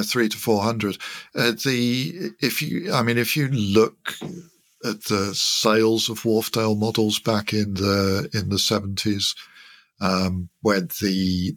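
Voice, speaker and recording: Expert guest, male, sixties or older. Deepish, creaky voice, thoughtful and halting technical explanations. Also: fairly even intonation, a bit bright, no room sound. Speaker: interview guest, loudspeaker designer.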